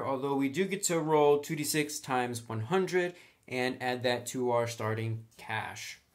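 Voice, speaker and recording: A man hosting a casual tabletop roleplaying tutorial, talking informally and thinking aloud as he goes. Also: medium pitch.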